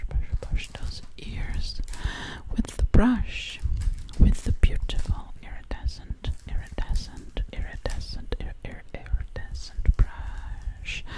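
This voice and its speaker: A woman whispering breathily and soothingly, close to the microphone in ASMR style, repeating words over and over. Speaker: ASMR creator.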